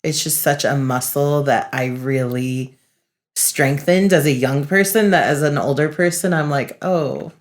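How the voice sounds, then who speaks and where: Low-voiced earnest woman reflecting. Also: measured pace. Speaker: podcast co-host, facilitator. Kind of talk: casual podcast chat.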